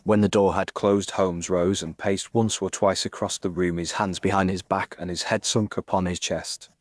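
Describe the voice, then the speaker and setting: A man voices an observant Victorian gentleman doctor narrating a detective audiobook. His low voice is measured, formal and literary. The recording is dry and close. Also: little pitch movement, dry recording, natural voice. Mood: measured, reflective. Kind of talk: scripted first-person story narration.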